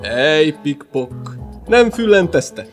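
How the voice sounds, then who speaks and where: A woman's voice: deep voice, sing-song, soft background music, voice pushed down. A woman voicing a stern but kindly male doctor in a children's story, firm, knowing and coaxing. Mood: coaxing, suspicious.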